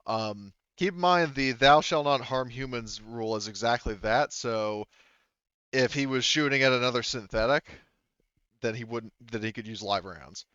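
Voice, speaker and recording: A man's voice: mid-range voice, normal speed, sharp tone, clean tone, no room sound, lo-fi sound. A man talking casually as game master of a tabletop roleplaying game, explaining rules as he goes.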